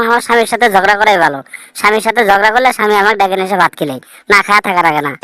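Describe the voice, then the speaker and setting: A quarrelsome young village wife from a comedy cartoon , acted by a woman, with a northern Bangladeshi accent. She rattles off complaints insistently in a fairly high voice, stubborn and scolding, on a dry, close recording. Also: a bit bright, smooth, dry recording, rough recording. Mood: grumbling, explaining. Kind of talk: comic cartoon dialogue.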